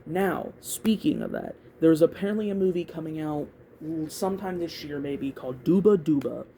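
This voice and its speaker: Casual vlogger, androgynous, soft mellow tone, halting and repetitive, some background noise. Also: medium pitch, animated, creaky. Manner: hesitant, repeats words, thinking aloud. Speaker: YouTube video creator giving opinions. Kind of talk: casual rambling opinion talk to camera.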